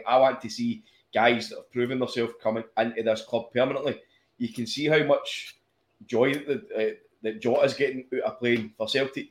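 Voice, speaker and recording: A man talking football in quick, blunt bursts, tripping over his own restarts and swearing freely. His middling voice rings around an echoey room. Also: mid-range voice. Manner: fast, with repeated restarts and swearing. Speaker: podcast co-host. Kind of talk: casual football chat.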